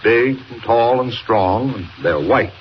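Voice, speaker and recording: An actor playing a brisk, urgent newspaper boss in 1940s radio style, speaking with emphatic authority. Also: male voice, medium pitch, lively intonation. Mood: urgent, intriguing. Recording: muffled, noisy 1940 radio recording.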